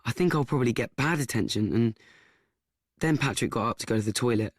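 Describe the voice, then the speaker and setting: An actor playing an awkward, anxious teenage son, narrating and talking in a radio play. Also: male voice, mid-range voice, a little fast, slightly rough, no room sound, studio quality, natural voice. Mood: confiding.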